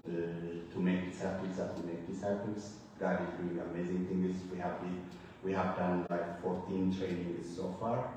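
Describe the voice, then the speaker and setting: A man's voice: deep voice, dark tone, slight background noise. A man in his forties from Ethiopia, with an Ethiopian accent, preaching a sermon and sharing his testimony with earnest, lightly humorous storytelling. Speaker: guest preacher. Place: church sanctuary. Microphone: church microphone, heard from afar. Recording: echoey hall from far off.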